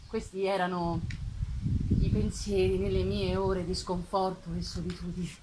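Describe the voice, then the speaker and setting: A woman voicing a lonely, self-loathing male creature in a dramatic literary reading, eloquent and bitter, with measured, solemn phrasing. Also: on the low side, flat delivery, lightly acted. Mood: despondent, yearning. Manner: measured, solemn phrasing with emphatic swells and hushed drops. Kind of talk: dramatic literary reading, live theatrical performance. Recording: live festival recording with faint noise.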